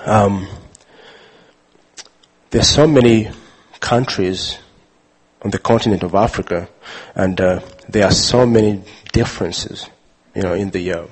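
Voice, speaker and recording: Man from uganda, husky low voice, slow halting speech, lots of uhs. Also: poor audio. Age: adult father. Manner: halting, many fillers and restarts. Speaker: speaker sharing his testimony. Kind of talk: personal testimony talk.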